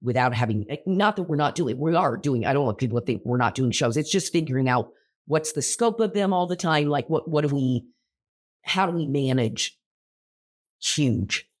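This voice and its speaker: A man's voice: mid-range voice, lively intonation, moderate pace. Male co-host, theater director type, rambling casual chat with lots of ums and restarts, getting over a cold. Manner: rambling, many fillers and restarts. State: recovering from illness.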